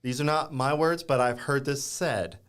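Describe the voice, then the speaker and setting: A man hosting a casual podcast interview, easygoing and hesitant, filling pauses with ums and uhs. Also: medium pitch, fairly bright tone. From Louisiana. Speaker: podcast host.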